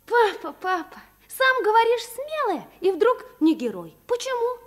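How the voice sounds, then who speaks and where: An eager, high-voiced little girl. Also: very animated, unhurried, exaggerated voice. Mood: eager, insistent. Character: eager, curious nine-year-old girl, excitable and naive. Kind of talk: Soviet radio play acting.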